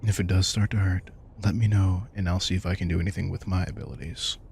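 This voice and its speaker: Man acting a deep-voiced, protective vampire king. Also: steady tone, fast, creaky, slight background noise. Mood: caring, casual. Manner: soft-spoken, casual, reassuring, occasionally swearing. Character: protective male vampire king, wry and tender. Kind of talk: intimate audio roleplay, one-sided dialogue.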